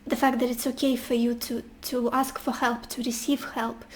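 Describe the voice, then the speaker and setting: A woman with a high voice that has gone hoarse, as if she is losing it. She gives a relaxed tarot reading, talking gently and reassuringly straight to her listener, with a faint hiss in the background. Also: moderate pace. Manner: gentle, reassuring, addresses the viewer directly. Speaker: tarot reader. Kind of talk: casual pick-a-card tarot reading.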